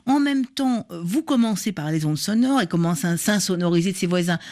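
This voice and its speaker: A woman's voice: fairly low pitch, expressive, brisk, darkish tone. A woman radio host interviewing a guest, asking questions with some fillers along the way.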